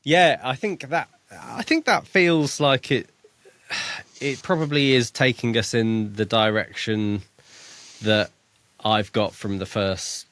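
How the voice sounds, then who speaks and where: A man's voice: medium pitch, slow, a bit bright, dry recording. A man co-hosting a book podcast, talking through a story in a casual way.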